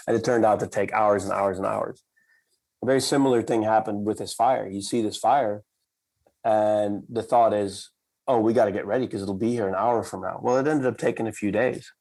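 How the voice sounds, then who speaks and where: A man from the Netherlands, a company cofounder, telling a story in a measured, reflective way and slowing down for emphasis. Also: fairly low pitch, steady tone, creaky, a little mellow, low-quality recording. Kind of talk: conversational storytelling.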